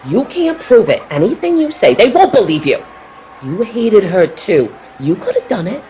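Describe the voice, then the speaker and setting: Someone acting as defiant jealous circus lady, radio drama, swoopy pitch, muffled noisy audio. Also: sing-song, moderate pace, dark tone, close to an everyday voice. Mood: defiant, cornered. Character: cornered, jealous female circus animal trainer, defiant and menacing.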